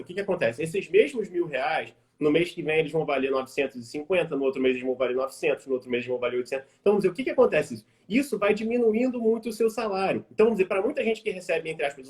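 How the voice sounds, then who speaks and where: A young Brazilian man in his twenties, an investment advisor explaining things casually on a live stream, informal and quick with many fillers. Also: sits high, darkish tone. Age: 20s. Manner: quick, informal, addresses audience as "gente", many fillers like "vamos dizer". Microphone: video call.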